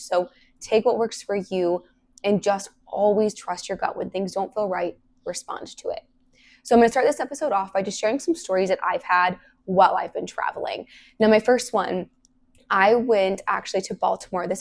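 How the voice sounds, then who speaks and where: A young woman hosting a solo podcast, her voice hoarse and partly lost from illness, talking casually and earnestly with lots of "like" fillers. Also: mid-range voice, a little mellow. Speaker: podcast host.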